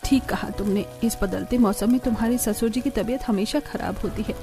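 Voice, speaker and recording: A woman voicing an apologetic, careworn middle-aged village mother-in-law in a cartoon, explaining things softly. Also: on the high side, brisk, over music, close to an everyday voice. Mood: troubled.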